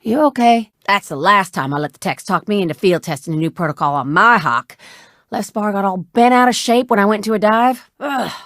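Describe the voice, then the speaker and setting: An actress plays a sassy young woman who flies and fixes ships in a sci-fi video game. She talks in casual, folksy banter at a medium pitch. The sound is close and dry, with no room on it. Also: a little fast, fairly bright tone, no room sound, natural voice. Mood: casual, chatty. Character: spirited, warm, sassy young woman pilot and ship mechanic. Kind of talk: video game character dialogue.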